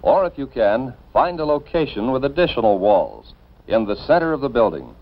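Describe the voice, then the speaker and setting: 1950s American educational film narrator, dull soundtrack. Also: male voice, medium pitch, very animated. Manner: measured, instructive, formal.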